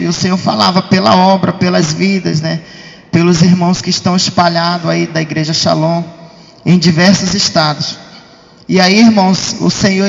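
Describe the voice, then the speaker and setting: High-voiced Brazilian man testifying in church. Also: creaky. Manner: emphatic, repeatedly addressing the congregation as brothers. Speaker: church member giving testimony. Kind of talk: religious testimony to a congregation. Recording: dull, muffled sound in reverberant hall.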